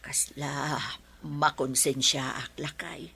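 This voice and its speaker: A woman playing a husky forgetful Ilocano grandmother. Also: deep voice, steady tone, slow, pushed and bright, heavily acted. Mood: worried, regretful. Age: older. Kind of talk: radio drama acting.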